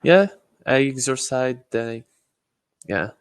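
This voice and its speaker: A man's voice: medium pitch, animated, takes it slowly, clean tone, no room sound, studio quality. A man from Morocco with a Moroccan accent, chatting casually in English as a learner, a little hesitant as he searches for words. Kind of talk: casual chat.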